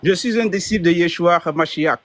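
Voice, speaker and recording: A man's voice: sits high, a little fast. A man whose first language is Yoruba, debating politely and asking pointed questions.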